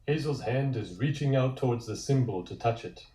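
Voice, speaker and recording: A man's voice: mid-range voice, lively intonation, moderate pace, warm tone. A man narrating scene directions from a comic script at a table read. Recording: echoey room.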